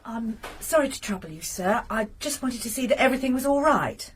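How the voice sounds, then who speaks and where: An actress playing an anxious, sharp-tongued landlady with a brisk, scolding manner. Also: fairly high pitch, expressive, slight background noise, natural voice. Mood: nervous.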